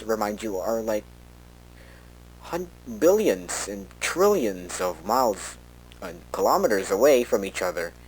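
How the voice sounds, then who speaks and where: A man's voice: fairly low pitch, a little hiss or hum, poor audio. A man playing a researcher recording an informal log tape, talking in a hesitant, conversational way and often interrupting himself. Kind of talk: in-character monologue for a fan horror audio log.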